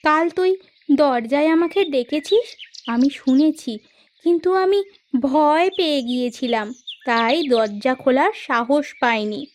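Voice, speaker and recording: A woman's voice: high-pitched, measured pace, slight background noise. A woman playing an anxious middle-aged village mother of a grown son, speaking earnestly and dramatically in a horror cartoon. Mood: fearful, confiding.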